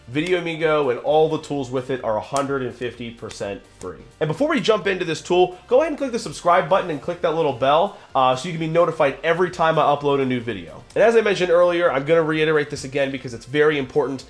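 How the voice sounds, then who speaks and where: Man, tutorial host, slightly nasal from a cold, upbeat, chatty, mid pitch. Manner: upbeat, chatty, casual fillers. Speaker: tutorial presenter. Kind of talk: screen-recorded software tutorial.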